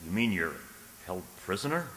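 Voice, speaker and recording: A man playing an earnest, anxious, lovestruck gentleman in a radio drama, with a stammering, dramatic delivery. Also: deep voice, sing-song, moderate pace, roomy sound, long reverb tail, slight background noise, rough recording. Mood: tender concern. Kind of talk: radio drama acting, journal narration and dialogue.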